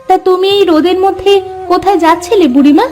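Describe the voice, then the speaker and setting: High-voiced, sweet young village wife played by a woman. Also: quick pace, a little mellow. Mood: kind, helpful. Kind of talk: cartoon fairy-tale voice acting. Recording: music behind the voice. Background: clear background music.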